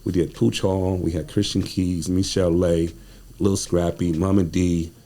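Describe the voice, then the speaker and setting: A man's voice: deep voice, flat delivery, unhurried, warm tone. An American man talking as a guest playwright, telling stories in a conversational, informal and slangy way. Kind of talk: conversational interview storytelling.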